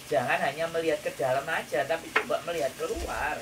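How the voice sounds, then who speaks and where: A man's voice: fairly high pitch, brisk. Emphatic Javanese-accented man, noisy distant recording. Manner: emphatic, lecturing, rhetorical questions. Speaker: YouTuber giving advice. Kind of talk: casual counselling chat. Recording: distant mic, noisy kitchen with frying.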